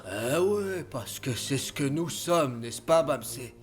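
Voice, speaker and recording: A man voicing a sly, mocking schemer who feigns friendship with an insinuating, drawn-out delivery in an animated film. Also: mid-range voice, soft background music. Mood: falsely friendly.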